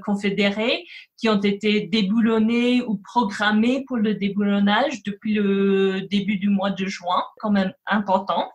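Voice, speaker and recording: A woman historian with an American accent, a native English speaker, explaining things hesitantly and searching for words in an interview. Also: medium pitch. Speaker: academic historian, guest. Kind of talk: unscripted explanatory interview answer.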